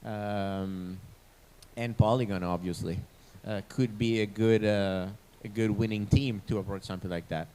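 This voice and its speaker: Low-voiced male moderator who is brisk and eager and tosses out ideas. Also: fairly even intonation, dark tone, clear, resonant tone. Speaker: panelist and moderator. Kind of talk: online panel discussion.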